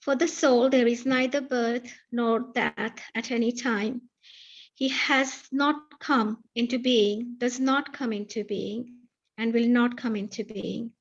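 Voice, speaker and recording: A woman with a somewhat high voice reads translated verses in steady, measured phrases. The sound is dull and lo-fi, like a video call. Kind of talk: reading scripture translations aloud.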